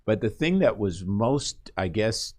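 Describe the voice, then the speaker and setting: A man's voice: on the low side, expressive, a little slow, darkish tone. A man from Costa Rica hosting a conversational radio interview, reflective and encouraging. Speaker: radio host.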